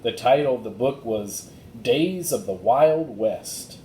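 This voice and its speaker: A man's voice: animated, slow, warm tone. A man narrating a children's storybook as a reader, recorded in an echoey room. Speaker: audiobook reader. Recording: roomy echo, faint rumbling noise.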